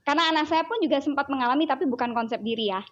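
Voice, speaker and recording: Lively Javanese-accented woman telling a story. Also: high voice, racing, dull audio. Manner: animated storyteller who acts out her child's words. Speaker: child psychologist guest. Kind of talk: casual interview chat.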